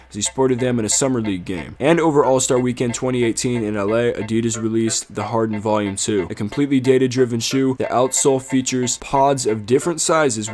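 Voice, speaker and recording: A man hosting his own online sneaker commentary, reading a scripted take in an upbeat, steady manner. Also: medium pitch.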